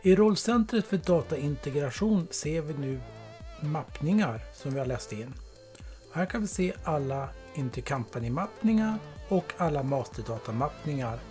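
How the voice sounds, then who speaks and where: A man narrating a scripted software tutorial as a product presenter, in a plain, explanatory way. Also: fairly high pitch, animated. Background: background music.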